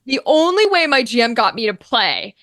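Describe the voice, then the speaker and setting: A woman speaking as an interview guest in casual, animated chat, telling stories and acting out bits of dialogue. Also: fairly high pitch, normal speed, no room sound, clean studio sound. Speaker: guest, actor and streamer.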